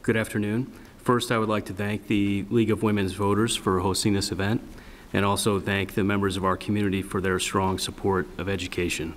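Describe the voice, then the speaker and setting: Flat-voiced man in a reverberant hall. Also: on the low side, slight background noise. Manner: measured, formal, businesslike delivery. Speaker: school board candidate. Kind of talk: prepared opening statement.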